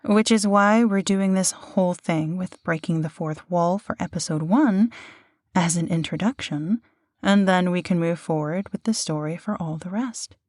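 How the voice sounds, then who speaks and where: A woman with a lowish voice co-hosts an audio series in scripted banter. Her manner is teasing yet reassuring, and the recording is dry and intimate, with no room around her. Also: moderate pace, darkish tone, dry recording. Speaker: voice actor co-hosting an audio series.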